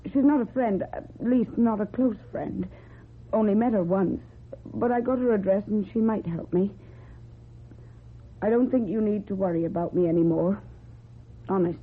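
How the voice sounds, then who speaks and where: An actress with a British accent playing a guarded young runaway woman in a radio drama, giving short, plain replies in a husky, breathy voice. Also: medium pitch, moderate pace, close to an everyday voice. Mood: polite, evasive. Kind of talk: old-time British radio drama acting. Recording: thin, muffled old radio recording.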